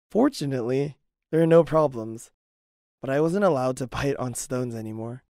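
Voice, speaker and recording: Male narrator for personal testimony, earnest and measured, gravelly edge, close dry sound. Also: medium pitch, dark tone, no room sound.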